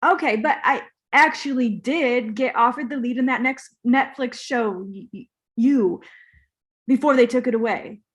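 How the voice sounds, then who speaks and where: Easygoing fading movie star, read by a woman, slightly high voice, earnest and naive, comedy script. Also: a little slow, close to an everyday voice. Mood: defensive, hopeful.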